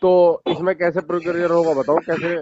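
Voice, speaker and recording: High-pitched insistent male caller, noisy line. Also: level tone, normal speed. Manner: insistent, repetitive. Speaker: phone caller. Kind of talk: argumentative phone conversation. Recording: dull, noisy phone call with clicks.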